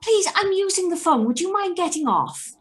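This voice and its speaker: A woman acts a gossipy party-line caller in a radio play. She is indignant and shrill, very high in pitch and swooping up and down. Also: big pitch swings, moderate pace.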